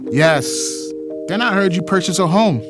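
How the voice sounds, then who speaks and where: A man playing an insistent, lovestruck middle-aged retired policeman in an audio drama, with dramatic, drawn-out declarations. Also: sits high, lively intonation. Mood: smug, teasing. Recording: loud music under the voice.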